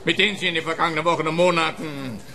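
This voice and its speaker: An actor playing a stolid, self-important policeman in a radio crime play, speaking with emphatic, official, halting phrasing. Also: a little high, steady tone, pushed and bright.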